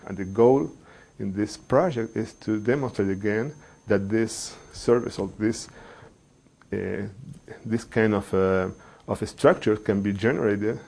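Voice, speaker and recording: Middle-aged researcher, measured and halting. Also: male voice, on the low side, creaky, a little mellow. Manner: measured, pausing often with fillers, non-native phrasing. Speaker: engineering researcher. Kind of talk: explaining research to a general audience.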